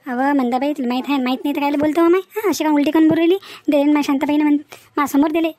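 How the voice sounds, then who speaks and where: Woman playing a high-pitched, gossipy village housewife. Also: fairly even intonation, rapid-fire, dark tone, no echo, close to an everyday voice. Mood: gossiping. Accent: rural Maharashtrian Varhadi. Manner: chatty, gossipy, emphatic rural talk. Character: talkative, sharp-tongued village housewife who gossips. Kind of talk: comic village dialogue for a cartoon.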